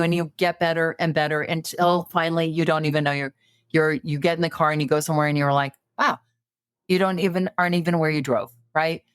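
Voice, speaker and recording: A woman's voice: low voice, no room sound, studio quality. A woman in her late fifties, a podcast guest giving coaching advice in a conversational way, full of fillers and restarts. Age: 50s. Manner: animated, many fillers and restarts.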